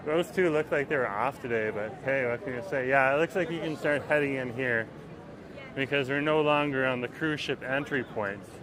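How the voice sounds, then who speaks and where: A man chats his way through a packed outdoor festival, rambling and easygoing as he streams from his phone while walking. Clear festival crowd chatter fills the air around him. His voice sits at an ordinary middle pitch for a man. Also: moderate pace. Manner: rambling, chatty, reads viewer comments aloud. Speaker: livestream vlogger. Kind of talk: casual walking-tour commentary. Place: outdoors at a busy waterfront festival. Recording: loud crowd noise outdoors.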